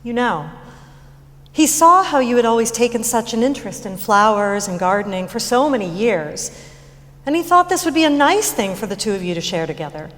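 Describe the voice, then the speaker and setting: A woman plays a gentle, anxious stage mother with a fairly high voice. She coaxes her grieving daughter haltingly and tentatively, recorded in a hall with long reverb. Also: lively intonation, lightly acted. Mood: gentle, careful. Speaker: actor in staged reading of musical. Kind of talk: live stage reading acting.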